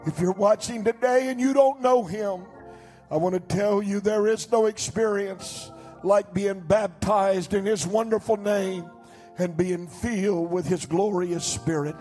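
An older man preaching a sermon as pastor from a church stage into a handheld mic, swinging between shouted declarations and low, quiet passages. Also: a little slow, faint music behind. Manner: fervent preaching, rising to shouts then dropping low.

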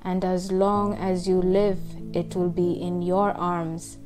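A woman reading a love poem aloud in a measured recitation, line by line. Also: lowish voice, flat delivery. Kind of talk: slow poetry reading. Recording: music behind the voice. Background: instrumental music.

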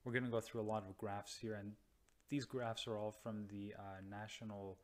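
Slow, hesitant young man, flat delivery. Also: on the low side. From United States or Canada. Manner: slow, hesitant, many ums and restarts. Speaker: radiology resident. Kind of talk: slide-based explainer. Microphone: voice-over to slides.

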